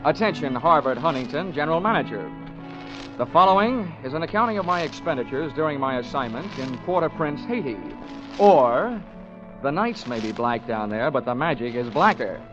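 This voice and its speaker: An actor playing a cynical, hard-boiled American investigator, narrating and talking with dry, breezy sarcasm in 1940s radio style. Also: male voice, fairly high pitch, expressive, moderate pace, close to an everyday voice. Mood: sardonic narration. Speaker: lead and first-person narrator. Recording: dull vintage radio recording, music behind.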